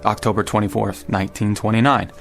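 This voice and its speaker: An actor playing a skeptical, logical American gentleman in a radio drama, arguing earnestly and pedantically. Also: lowish voice, little pitch movement, fairly quick, vocal fry, soft background music. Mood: skeptical, insistent. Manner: pedantic, argumentative.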